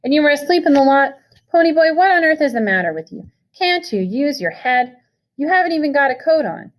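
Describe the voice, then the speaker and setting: A woman reading the part of a furious older brother who shouts and scolds. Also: fairly high pitch, fast talker, natural voice. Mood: furious scolding. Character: older brother, angry stern young man.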